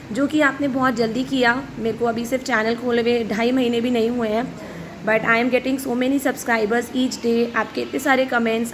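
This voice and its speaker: A woman teacher with a hoarse voice, pitched a little high, works through viewer questions in plain, direct Hindi with English mixed in. A faint hiss runs under the recording. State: hoarse from shouting at school. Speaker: teacher and channel host. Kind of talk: explaining answers to viewer questions.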